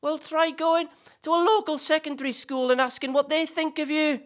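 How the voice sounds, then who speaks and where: A woman's voice: high voice, steady tone, normal speed, lightly acted. A woman playing a chatty, cheeky male celebrity actor hosting a podcast in an audio play, hesitant at times and bluntly teasing. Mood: heated, mocking. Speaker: podcast host. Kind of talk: audio play dialogue in interview form.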